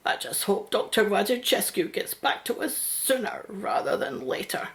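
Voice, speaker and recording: A woman playing a caring, anxious mother and carer in a radio play, chatty and gentle, at times soft and breathy. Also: mid-range voice, very animated, moderate pace. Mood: worried, pleading.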